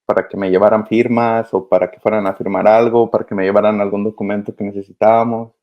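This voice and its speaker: A gravelly man, the earnest guest on a podcast heard through a slightly dull connection, feeling for his words. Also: fairly low pitch, flat delivery, fast talker. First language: Spanish. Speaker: interview guest, migrant children's advocate. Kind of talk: casual, earnest interview answers.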